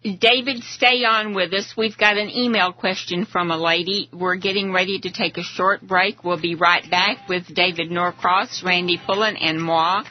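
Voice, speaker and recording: A woman's voice: on the low side, fairly even intonation, normal speed, hardly any pauses. A woman hosting a live political talk radio show, talking to guests and listeners. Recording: dull lo-fi radio audio.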